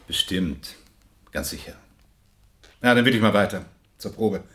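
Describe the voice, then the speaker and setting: An actor playing an anxious, evasive, self-doubting man in a German radio drama, speaking in halting, clipped phrases. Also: on the low side, sharp tone, breathy edge, exaggerated voice. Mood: subdued. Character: anxious, evasive film actor dreading a screen test.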